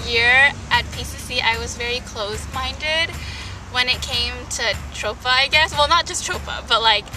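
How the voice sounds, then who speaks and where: A high-voiced young college woman rambles hesitantly through a farewell message, full of ums and likes. She sits a little back from the mic, and music plays underneath. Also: distant mic, poor audio. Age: young adult. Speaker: college student club member. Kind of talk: casual farewell testimonial.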